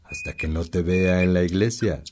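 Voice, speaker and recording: Man acting a deep-voiced, wise old priest. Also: noisy background, lightly acted. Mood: earnest storytelling. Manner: measured, earnest storytelling. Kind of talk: Spanish-language radio drama acting.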